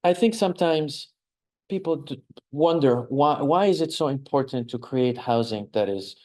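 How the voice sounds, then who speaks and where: A man's voice: medium pitch, normal speed, dark tone. A man in his forties with a Lebanese accent presents as an architect in an informal lecture, explaining things conversationally and checking in with listeners.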